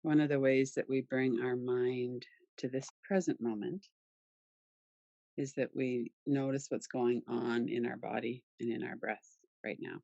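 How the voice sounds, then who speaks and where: Female meditation guide, soft-edged dark tone, close dry sound, soothing delivery with pauses. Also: rumbling low, fairly even intonation, vocal fry, no echo, lo-fi sound. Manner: soothing, gentle, unhurried with pauses. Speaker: yoga nidra meditation guide.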